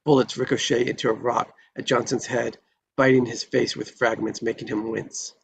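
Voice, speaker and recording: A man's voice: mid-range voice, little pitch movement, moderate pace, creaky, background noise. A man reading screenplay stage directions in a dramatic narrating style at a table read.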